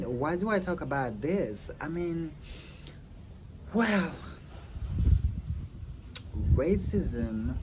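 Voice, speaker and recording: French-speaking man talking slowly outdoors. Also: medium pitch. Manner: halting, many ums and restarts. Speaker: vlogger. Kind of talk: casual talk to camera. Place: outdoors in wind. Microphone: phone on a tripod. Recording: wind noise on a phone mic. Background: wind and outdoor ambience.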